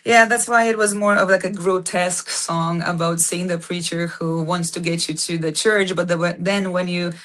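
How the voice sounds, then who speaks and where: A young woman with a fairly low voice talks casually as an interview guest. She often pauses on "uh" and "um" while she hunts for the right words. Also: steady tone, fairly bright tone. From Poland. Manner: frequent "uh" and "um", searching for words. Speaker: band singer, guest.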